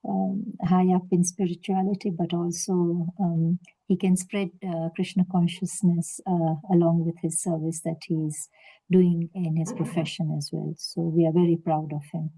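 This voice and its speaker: A woman's voice: fairly low pitch, flat delivery, normal speed, creaky, warm tone, low-quality recording. A woman in her sixties organizing a devotional group call, speaking with proud encouragement. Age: 60s. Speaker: session organizer. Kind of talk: casual group video call.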